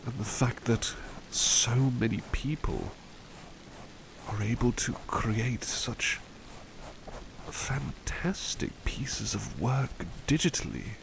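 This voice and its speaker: A man playing a relaxed, polite, old-fashioned butler in a soft-spoken ASMR roleplay, musing hesitantly in formal wording. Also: mid-range voice, slow pace, gravelly, background noise. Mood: musing, modest.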